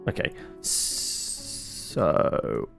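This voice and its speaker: A man's voice: drawn-out words, a bit bright, faint music behind. A man giving casual let's-play commentary, muttering his thoughts aloud as he plays and swearing now and then. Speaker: gaming channel host.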